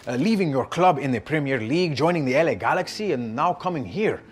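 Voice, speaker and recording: A man's voice: a little high, expressive, brisk, slight background noise, lightly acted. A man playing a probing sports journalist in a game cutscene, hesitant at first but pointed. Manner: hesitant openers, pointed questioning. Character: probing male sports journalist at a press conference. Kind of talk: video game cutscene acting.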